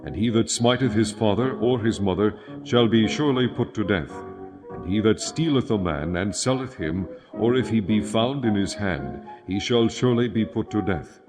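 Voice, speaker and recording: A man with a fairly low voice narrates scripture solemnly, in measured phrases. Music plays clearly behind his dramatic, scripted reading. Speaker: audio Bible narrator.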